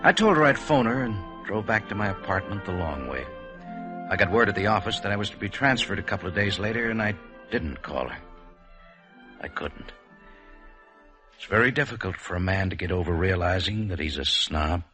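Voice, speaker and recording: Deep-voiced, rueful American man. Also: lively intonation, a little fast. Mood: regretful. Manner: reflective, rueful, plain-spoken. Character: wistful man regretfully recalling a lost romance. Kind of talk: 1950s radio drama narration, first person. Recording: 1950s radio broadcast with music. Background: music.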